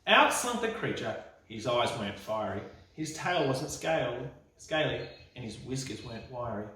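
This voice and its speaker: A man reading a children's storybook aloud in an expressive, emphatic, dramatic style. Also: medium pitch, normal speed. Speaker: school storytime reader. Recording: echoey room, distant mic.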